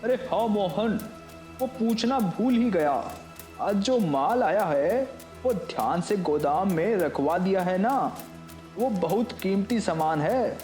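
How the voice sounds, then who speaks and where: A man playing a high-voiced greedy merchant boss. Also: animated, takes it slowly. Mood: anxious. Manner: scolding, commanding. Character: greedy angry male merchant boss, bullying. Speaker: seth character. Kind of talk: animated cartoon voice acting. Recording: echoey mix over loud music.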